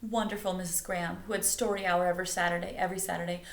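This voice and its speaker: A woman's voice: lowish voice, level tone, rapid-fire, vocal fry. A woman reading a children's story aloud expressively as a storyteller, recorded in an echoey room. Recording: roomy, echoey indoor sound.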